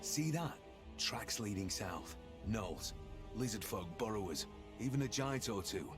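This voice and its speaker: A gruff, loyal monster hunter from a fantasy game. His voice sits low, with a weary, caring temper. Prominent music plays underneath. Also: expressive, a little slow. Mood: watchful. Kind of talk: video game voice acting.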